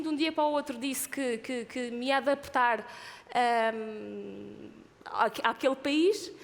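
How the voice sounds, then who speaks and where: A woman in her thirties from Portugal with a European Portuguese accent, giving an earnest motivational talk about her life as an athlete, pausing and restarting often. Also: a little high, long reverb tail. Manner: earnest, with frequent hesitations and restarts.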